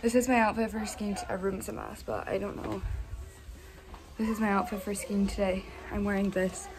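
A woman's voice: medium pitch, flat delivery, a little fast, rough recording. A young woman chatting upbeat to her phone camera as a vlogger, outdoors and in a ski lodge. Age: young adult. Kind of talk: casual vlog narration. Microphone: handheld phone camera.

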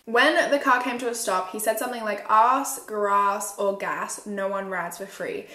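A woman's voice: medium pitch, steady tone, measured pace, bright, forceful tone. A woman, telling a true crime story conversationally in a furnished room. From Australia. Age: 20s. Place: small furnished room indoors. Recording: roomy indoor echo.

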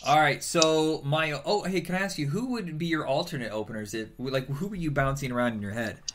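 A man's voice: on the high side, a little fast, a bit bright, slight background noise. A man co-hosting a casual music podcast, talking keenly and knowledgeably about an artist. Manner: enthusiastic, informative, music-fan talk. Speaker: podcast co-host. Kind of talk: casual chat.

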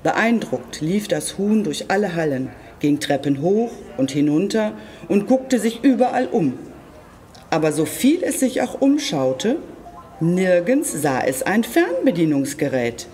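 Children's story reader: mid-pitched, very varied intonation, deliberate and direct to young listeners. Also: sing-song, dark tone. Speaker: storyteller reading aloud for a library. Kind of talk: expressive children's story reading.

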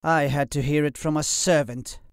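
A man's voice: mid-range voice, measured pace, a little mellow, no echo, studio quality, natural voice. A man voicing an arrogant, contemptuous English lord with a haughty, sneering delivery in an audiobook. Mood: haughty reproach. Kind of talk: audiobook character acting.

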